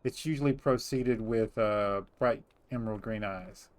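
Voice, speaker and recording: A man's voice: lowish voice, unhurried, no echo. A middle-aged man chatting casually over gameplay as a host, rambling and teasing as he goes. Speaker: gaming host.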